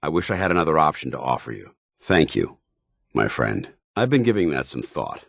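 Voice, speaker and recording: A deep-voiced, earnest military paladin. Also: male voice, sing-song, creaky, fairly bright tone, no room sound, studio quality, exaggerated voice. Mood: apologetic, resigned, then resolute. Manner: grave, formal, deliberate soldierly delivery. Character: earnest, honour-bound military paladin, a synthetic man troubled by his identity. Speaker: voice actor in a video game. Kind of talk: dramatic video game dialogue.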